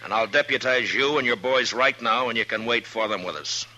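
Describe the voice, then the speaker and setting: An actor playing a stern, steady American marshal of the Old West in 1950s radio western style, speaking firmly and deliberately. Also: male voice, mid-range voice, a little hiss or hum, poor audio. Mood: planning, steady. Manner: firm, deliberate, commanding. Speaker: lead actor and first-person narrator. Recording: old radio broadcast with music.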